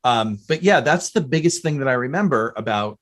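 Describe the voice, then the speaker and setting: Man hosting casually, medium-pitched voice. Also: lively intonation, a little fast, fairly bright tone. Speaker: podcast host. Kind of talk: casual pop culture chat.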